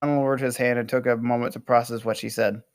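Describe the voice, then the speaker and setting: A man narrating stage directions at an amateur table read, reading haltingly with small stumbles. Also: medium pitch, level tone, no room sound, clean studio sound.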